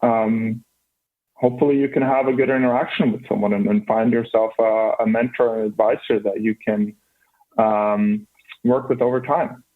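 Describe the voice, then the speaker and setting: A man's voice: on the low side, flat delivery, normal speed. A man in his thirties from Norway with a faint Norwegian accent, a startup founder answering interview questions earnestly, with many restarts. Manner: earnest, with frequent restarts and fillers. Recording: band-limited phone line.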